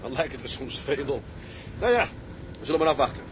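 Tug skipper, played by a man: sober male, mid-pitched, measured, radio drama with clear ship noise behind. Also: expressive, close to an everyday voice. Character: sober, level-headed male tugboat skipper. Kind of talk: Dutch radio drama acting.

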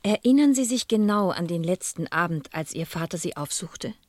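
A woman's voice: fairly low pitch, expressive, normal speed, no echo, lightly acted. An actress playing a determined, inquisitive woman sleuth in a radio crime drama, speaking formally and probingly. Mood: politely probing. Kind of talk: radio crime drama, dialogue and first-person narration.

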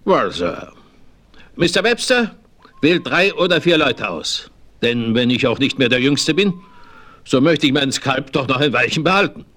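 A man's voice: mid-range voice, big pitch swings, normal speed, a bit bright. Blustering, crafty old frontiersman. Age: older. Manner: folksy blustering talk with English oaths. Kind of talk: German radio play western acting.

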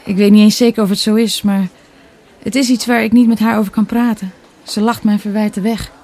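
Actress as a mellow, melancholy young woman. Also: mid-range voice, little pitch movement, brisk, natural voice. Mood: pensive. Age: young adult. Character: melancholy, guarded young daughter, doubting her mother. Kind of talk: Dutch radio play dialogue, naturalistic.

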